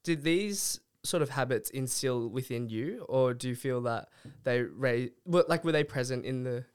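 Smooth-voiced young host, searching for words. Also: male voice, mid-range voice, expressive, moderate pace, a little mellow. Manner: hesitant, searching for words, many restarts. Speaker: podcast host. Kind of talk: interview chat.

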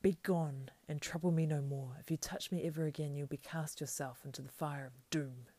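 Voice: mid-range voice, a little slow, gravelly, a little mellow, slightly rough, no room sound. A narrator voicing a stern, weary, resolute male hero, solemn and breathless, in a storybook reading. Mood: stern command.

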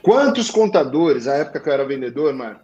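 A man with a Portuguese accent coaching accountants on sales, blunt and slangy, swinging to loud emphasis. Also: medium pitch, very animated, fast, muffled recording. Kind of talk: live talk show advice.

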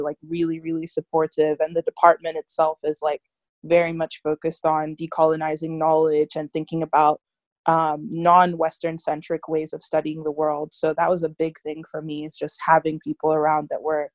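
A woman's voice: low voice, a little mellow, dry recording. A young woman from Nigeria, a student guest in an interview, talking reflectively with many fillers like "like" and "you know". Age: young adult. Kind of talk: casual interview conversation.

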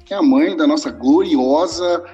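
Male co-host, animated and rambling, fairly high voice, faint music bed. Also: normal speed, lo-fi sound. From São Paulo, Brazil. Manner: animated, rambling, swears freely. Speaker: podcast co-host. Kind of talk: casual chat.

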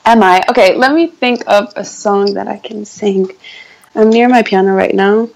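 A woman with a soft voice, talking in a casual interview as a guest singer, hesitant and full of fillers. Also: mid-range voice, moderate pace, gravelly, a little hiss or hum, low-quality recording. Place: near her piano.

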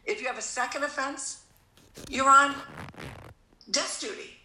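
A woman's voice: sits high, measured pace, pushed and bright, roomy sound, slight background noise, rough recording. A woman in her fifties from Connecticut, talking earnestly in a casual conversation, rambling and often restarting her sentences. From Connecticut, USA.

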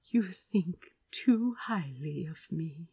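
A woman voicing a gentle, earnest male prince in an audiobook, speaking somberly and lovingly in a soft, breathy whisper. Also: sits low, expressive, very slow, dry recording, full character voice. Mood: moved, tender.

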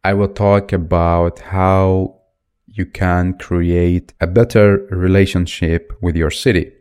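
A man's voice: low-pitched, a little mellow, smooth. A man in his twenties from Iraq, hosting a solo podcast and talking off the cuff with restarts and fillers. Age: 20s. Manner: unscripted, thinking aloud with fillers and restarts. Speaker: podcast host.

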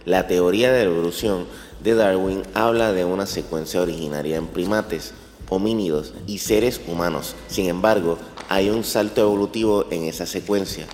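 A man's voice: sits low, normal speed, a little mellow, slight background noise. A man delivering scripted, documentary-like narration as a narrator. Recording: echoey long reverb over music. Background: background music.

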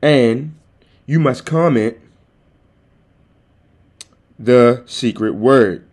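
A man hosting his own podcast, talking casually and directly to his listeners in a calm, soothing voice into a desktop microphone. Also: mid-range voice, very slow. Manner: easygoing, addresses listeners directly. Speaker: podcast host. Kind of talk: casual solo podcast talk. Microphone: desktop USB microphone.

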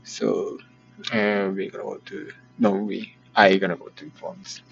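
Tired Japanese-accented man, slow and hesitant. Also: fairly low pitch, flat delivery, vocal fry, soft background music. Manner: hesitant, many fillers and self-corrections. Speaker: vlogger. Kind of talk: casual spoken diary. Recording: muffled lo-fi with background noise.

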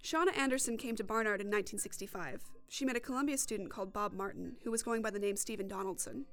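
A woman reporter narrates a scripted documentary at a fast clip. Her pitch sits in a typical female range, and music plays underneath.

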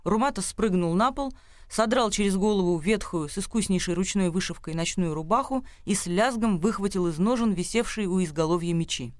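A man's voice: sits high, fairly quick, no echo. A man reading scripted literary narration as the narrator of a radio drama.

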